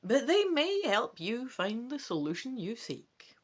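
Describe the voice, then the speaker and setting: Woman voicing a slow, mysterious old lady bookseller. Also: fairly high pitch, very animated, pitched above the performer's own voice. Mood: coaxing. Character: kindly elderly woman bookseller, mysterious, unhurried, shrewd. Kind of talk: dramatic gamebook reading.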